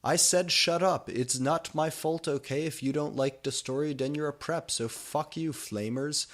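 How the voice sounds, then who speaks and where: Man putting on a high-pitched melodramatic goth teenage girl. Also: normal speed, a bit bright, natural voice. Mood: angry, defiant. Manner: exclamatory, overwrought. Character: melodramatic, foul-mouthed goth teenage girl, easily outraged.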